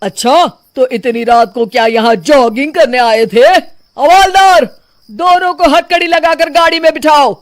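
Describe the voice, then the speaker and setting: A man playing a stern, officious village policeman in an animated story, authoritative and formal. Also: very high-pitched, a little slow. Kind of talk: animated moral story acting.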